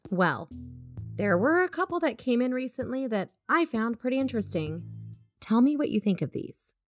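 A woman's voice: on the high side, big pitch swings, moderate pace, dry recording, faint music behind. A woman playing an assured podcast investigator in a conversational audio drama, reasoning and probing persuasively. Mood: matter-of-fact. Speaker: fictional podcast co-host.

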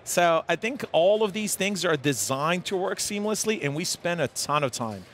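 A man in his forties from Serbia with a Serbian accent, speaking haltingly with many fillers as a guest in a live conference interview. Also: sits high, expressive, a bit bright, slight background noise. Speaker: tech executive guest. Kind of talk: live trade-show interview. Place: busy conference hall. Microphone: headset mic. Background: crowd chatter.

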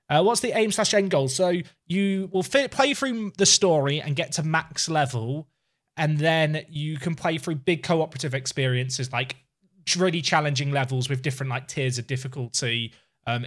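A man's voice: on the high side, a bit bright. Man streaming, casual gamer chat. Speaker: game streamer. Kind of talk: casual livestream gaming chat and commentary. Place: small home room, indoors.

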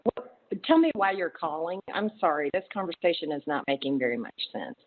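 A woman's voice: deep voice, expressive, fairly quick. Woman on a narrow phone line. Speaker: law office receptionist. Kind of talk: phone conversation with a caller. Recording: muffled narrow telephone line.

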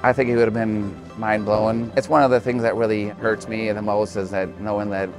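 A middle-aged man answering interview questions in a bowling alley, soft-spoken and halting, often repeating words. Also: on the low side, level tone, over music. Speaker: interviewee, bereaved father.

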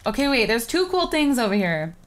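A young woman in her twenties chatting casually while playing a game at home, prone to quick excited exclamations. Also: on the high side. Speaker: co-host of a Minecraft series. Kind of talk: casual gameplay chat. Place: home room, indoors. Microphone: webcam and desk mic.

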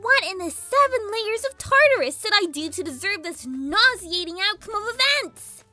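A woman's voice: very high voice, sing-song, moderate pace, bright, forceful tone, faint music behind, exaggerated voice. A woman voicing a bratty, indignant young girl pony villain in a fan fiction audio drama, whiny, exasperated and dramatic. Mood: resentful.

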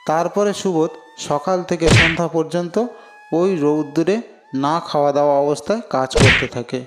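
High-pitched male cartoon story narrator. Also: a little fast, over loud music.

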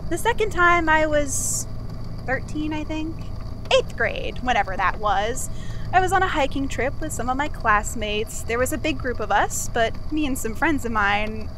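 A chatty young-sounding archive assistant guy played by a woman records a confession for an audio drama. The voice is high and rambles hesitantly and conversationally, with clear noise behind it. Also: animated, normal speed. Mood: uneasy, reminiscing.

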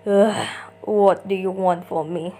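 Woman doing a stern, gruff Soviet officer. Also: lowish voice, fairly even intonation, slow, background noise. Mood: irritated. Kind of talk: amateur comic dub.

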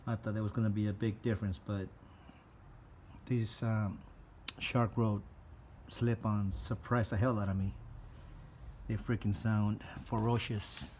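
A man giving a casual product review as a customer, plain-spoken and hesitant with pauses. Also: on the low side, level tone, darkish tone, a little hiss or hum. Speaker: customer reviewing motorcycle mufflers.

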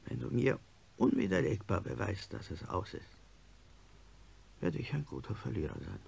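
An actor playing an ailing, stubborn male industrialist in a radio play, gravelly and husky, speaking with deliberate, sardonic insistence. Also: mid-range voice, big pitch swings, a little mellow, exaggerated voice. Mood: insistent. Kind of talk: German radio play acting.

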